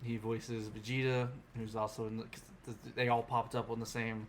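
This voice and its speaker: A man's voice: mid-range voice, level tone, normal speed, a little hiss or hum. Casual male podcaster with mid-range voice. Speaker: podcast co-host. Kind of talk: casual chat about anime.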